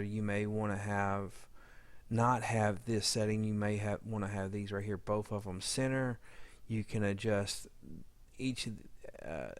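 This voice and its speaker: A man giving an informal audio editing walkthrough, halting and rambling as he thinks aloud. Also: fairly low pitch, no room sound. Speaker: audio drama editor giving a demo. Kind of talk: informal software demo walkthrough.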